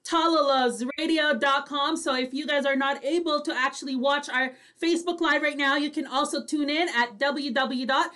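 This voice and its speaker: Very high, bright-voiced bubbly woman host. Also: fairly even intonation, normal speed, hardly any pauses. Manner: bubbly, effusive, drops in Tagalog tags. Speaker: online radio talk show host. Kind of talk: lively casual co-host chat. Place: indoors, on a video call.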